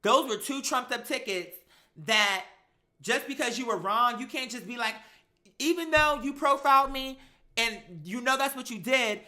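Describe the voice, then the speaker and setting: An American live-stream host telling stories casually in an animated, emphatic, slangy way, acting out exchanges. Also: sits high, normal speed.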